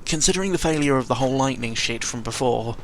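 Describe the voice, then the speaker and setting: A man's voice: mid-range voice, fast talker. British young man rambling over noticeable background noise. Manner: sweary, informal, rambling. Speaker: gaming vlogger. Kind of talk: casual rambling audio log. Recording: clearly noisy amateur recording with ambient sound.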